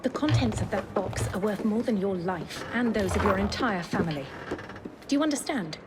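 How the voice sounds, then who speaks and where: An actress playing a cold, imperious Victorian villainess in a video game. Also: female voice, medium pitch, animated, moderate pace, heavy background noise, natural voice. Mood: threatening. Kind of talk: video game cutscene acting.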